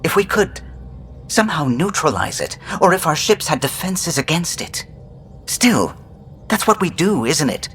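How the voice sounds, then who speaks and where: A man's voice: on the high side, sing-song, unhurried, a bit bright, soft background music, full character voice. A man playing an earnest, resolute starship pilot, speaking solemnly in video game dialogue. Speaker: pilot character.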